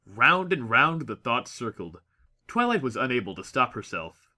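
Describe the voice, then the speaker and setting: Guy doing audiobook narration, really bright forceful voice, medium pitch, expressive storytelling. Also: normal speed. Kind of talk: scripted dramatic story narration.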